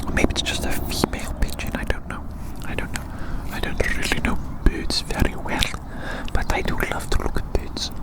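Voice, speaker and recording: An ASMR performer delivers a rambling roleplay monologue entirely in a breathy, unvoiced whisper. Phrases come back again and again, often closed with a gentle tag question. The wording is rather formal.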